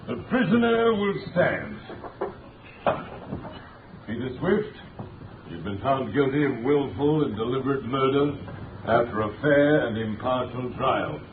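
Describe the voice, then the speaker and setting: An actor playing a stern, unshakable judge in a 1930s radio drama, formal, declamatory and imperious. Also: male voice, mid-range voice, animated, measured pace, pauses often, noisy background, natural voice. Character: stern, unshakable male judge passing sentence. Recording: distant echoey tinny 1930s radio.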